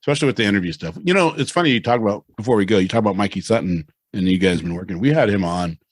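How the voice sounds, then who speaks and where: A man in his forties hosting a podcast in casual chat. He tells stories with energy and loudly acts out the lines he quotes. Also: lowish voice, animated, racing, dry recording. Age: 40s. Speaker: podcast host.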